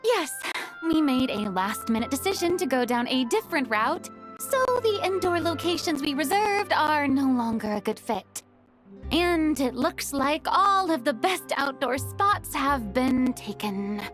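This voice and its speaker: A woman's voice: high voice, lively intonation, unhurried. An actress playing an earnest woman film director explaining a problem. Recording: game audio with music behind.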